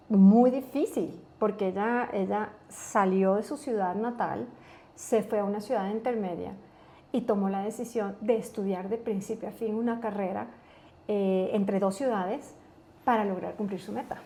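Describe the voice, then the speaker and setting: A woman's voice: medium pitch, unhurried. Ecuadorian woman telling family stories. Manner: relaxed, anecdotal, thinks aloud. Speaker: guest, company executive. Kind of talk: casual interview storytelling.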